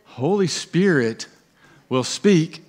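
A middle-aged man preaching a sermon as pastor on a church stage, animated, with pauses and emphatic rises. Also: on the high side, a little hiss or hum.

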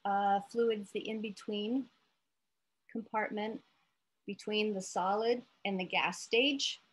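An American woman in her late forties giving an informal, halting lesson with storytelling, thinking aloud as she explains. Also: mid-range voice, steady tone. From United States, Iowa. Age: late 40s. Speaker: therapist presenting an educational video letter.

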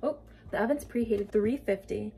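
A woman's voice: mid-range voice, moderate pace, gravelly, warm tone. A young woman chatting casually through a bake-with-me vlog in her home kitchen, narrating each step as she goes. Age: young adult. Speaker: vlogger.

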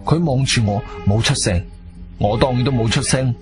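A man's voice: medium pitch, normal speed, lightly acted. An actor playing a composed, wronged adventurer who tells his own story in a radio drama, stern and dramatic. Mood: tense, controlled. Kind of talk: first-person radio drama narration and dialogue. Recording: music behind the voice.

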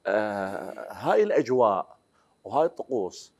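A man's voice: on the low side, very animated, moderate pace, a little mellow, slightly rough. A man in his forties with an Iraqi accent, a talk show host asking probing questions in a studio interview. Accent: Iraqi Arabic. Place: TV studio set, indoors.

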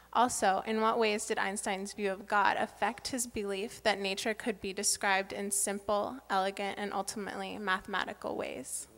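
A woman's voice: medium pitch, fairly bright tone. A young woman on a student panel, carefully reading a long prepared question into a handheld mic. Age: young adult. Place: stage panel table in a hall.

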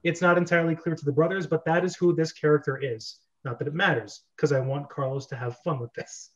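A man's voice: on the high side, steady tone, fairly quick, highs cut off. A man in his thirties hosting a script read-through, narrating screenplay directions and chatting casually over a video call. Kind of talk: reading screenplay directions amid casual chat. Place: bedroom at home.

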